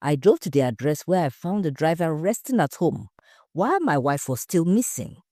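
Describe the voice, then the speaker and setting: A woman's voice: low-pitched, sing-song, normal speed, warm tone, no echo, studio quality. A woman voicing an anxious, indignant husband accused of murder in an audio story, emphatic and defensive. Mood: worried, indignant.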